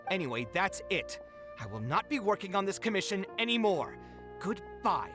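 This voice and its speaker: A man's voice: high voice, sing-song, moderate pace, music in the background. A man voicing a proud, touchy young architect in a video game, exasperated and indignant as he argues. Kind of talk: game voice acting.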